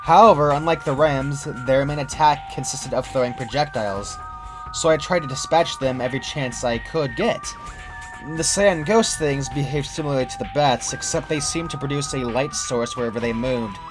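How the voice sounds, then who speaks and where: Man narrating a creepypasta over loud music. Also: mid-range voice, normal speed. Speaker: narrator reading a horror story. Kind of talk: scripted first-person creepypasta narration. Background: background music.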